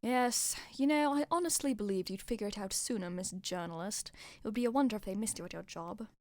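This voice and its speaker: A woman playing a smug, scheming dark-arts villainess in an amateur radio play, theatrical and blithely sardonic. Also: medium pitch, fairly quick, no room sound, clean studio sound, natural voice. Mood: smug mockery.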